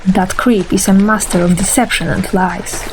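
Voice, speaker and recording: A woman's voice: medium pitch, fairly even intonation, moderate pace, a little hiss or hum, lightly acted. A woman plays a serious teenage girl with magic powers in a fan-dubbed comic. Her voice sits in a middle register, careful and concerned. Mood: serious, worried. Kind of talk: fan-dub comic voice acting.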